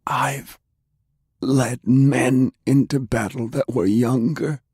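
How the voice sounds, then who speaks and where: Man acting out a gravelly, strained old war leader. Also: mid-range voice, fairly even intonation, slow pace, a little mellow, no room sound, clean studio sound, pitched above the performer's own voice. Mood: grim, urgent. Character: Pershing, ailing old male war leader, stern and ruthless. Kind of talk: audiobook character acting.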